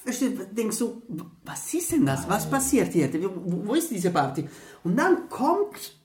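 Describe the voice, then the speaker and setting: A woman backpacker in a radio play, heard in a clearly echoing space. She is excitable yet slow to get it out, repeating herself and hunting for words. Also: mid-range voice, faint music behind. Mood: awed. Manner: halting, repetitive, searching for words. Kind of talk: radio drama dialogue. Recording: roomy, echoing sound.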